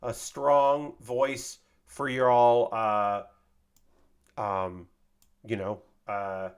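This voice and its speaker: A man's voice: on the low side, little pitch movement, very slow, smooth. Tired casual male streamer. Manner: loose and profane, lots of fillers. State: tired, voice a bit worn. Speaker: streamer building a model kit. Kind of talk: casual livestream chat.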